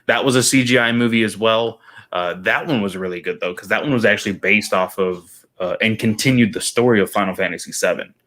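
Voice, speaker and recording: A man's voice: lowish voice, a little fast, fairly bright tone. A man co-hosting a casual podcast, talking in a loose, rambling way with many ums and restarts. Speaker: podcast co-host. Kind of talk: casual chat.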